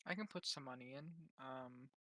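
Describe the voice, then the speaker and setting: Guy joking about being broke in a tabletop game, bright voice, dry sound. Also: mid-range voice, normal speed, no room sound. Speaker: player. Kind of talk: casual tabletop game chat.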